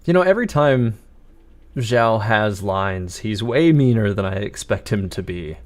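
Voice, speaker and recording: A man's voice: lowish voice, lively intonation, unhurried. A man streaming a video game, thinking aloud in easygoing, casual live commentary.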